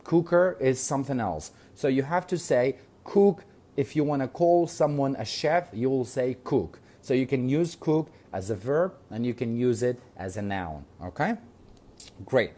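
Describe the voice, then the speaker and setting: Kurdish-accented male English teacher, mellow voice, patient and repetitive, spells words aloud. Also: medium pitch, lively intonation, measured pace. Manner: patient, repeats words and spells them out letter by letter; checks in with frequent "okay?". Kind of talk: online language lesson.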